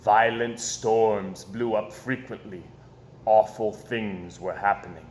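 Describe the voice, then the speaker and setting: A man reading a children's story aloud in an expressive, animated storytelling style, with dramatic pauses and emphasis. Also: lowish voice. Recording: echoey room with background noise.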